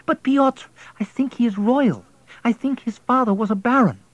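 A man reading an audiobook, voicing a tender-hearted middle-aged peasant wife who pleads anxiously in a raised, higher voice. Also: moderate pace, dry recording, lo-fi sound. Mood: pleading.

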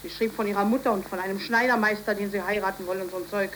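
A woman's voice: medium pitch, steady tone, very fast, fairly bright tone, lightly acted. An actress playing an anxious, protective working-class mother, pleading and reproachful, in a 1930s radio drama. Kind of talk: 1930s German radio play acting. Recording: noisy old 1930s radio recording.

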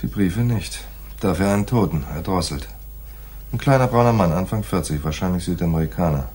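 A man's voice: sits low, animated, fairly quick, darkish tone, slightly rough. An actor playing a laconic, shrewd private detective in a radio play, dry and slangy, telling his case like noir. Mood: dry, businesslike. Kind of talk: 1980s German radio play, noir dialogue and narration.

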